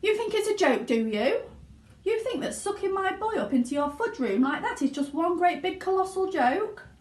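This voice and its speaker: A woman's voice: very high-pitched, big pitch swings, moderate pace, darkish tone, voice pushed up. A woman reading a children's storybook, voicing a hysterical, bossy mother in a shrieking, indignant manner. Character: shrill, hysterical, bossy mother of a greedy boy. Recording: roomy indoor echo.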